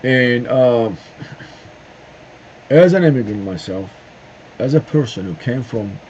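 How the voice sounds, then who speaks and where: A middle-aged man from Turkey, speaking a halting opinion monologue to camera with many pauses and "uh"s. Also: medium pitch, animated, darkish tone. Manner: halting, searching for words with frequent "uh" and "um" pauses. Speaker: video host. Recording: dull lo-fi sound with faint noise.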